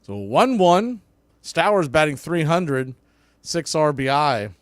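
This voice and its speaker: A man's voice: on the high side, very animated, slow, no room sound. A man calling a baseball game play by play in clipped phrases that build on big plays. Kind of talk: live play-by-play commentary.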